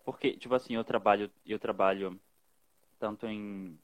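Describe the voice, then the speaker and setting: A young male French teacher answers questions in a halting way, with a fairly low voice. The recording sounds close and dry. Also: steady tone, no room sound. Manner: hesitant, many fillers and restarts. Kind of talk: casual interview answers.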